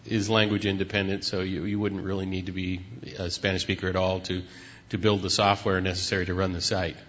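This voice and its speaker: A man on a panel giving an unscripted technical explanation in a hesitant, halting way. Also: low voice, little pitch movement, fairly bright tone. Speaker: website tech team member on a panel. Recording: muffled recording in reverberant hall.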